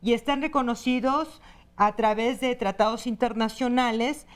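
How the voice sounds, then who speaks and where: A woman's voice: fairly high pitch, fairly even intonation, normal speed. A woman, a guest on a talk show, explaining in a hesitant way with many fillers. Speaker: guest, human rights director.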